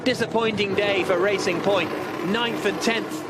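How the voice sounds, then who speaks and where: A man's voice: sits high, fairly even intonation, a little slow, heavy background noise. A man giving live motor racing commentary as the lead commentator, calling the action fluently and emphatically.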